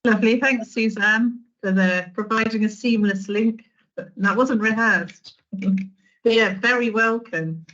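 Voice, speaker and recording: A woman's voice: fairly high pitch, measured pace, a bit bright, dull audio. A woman in her forties, a social work trainer, giving an online training talk in a conversational way, with restarts and fillers.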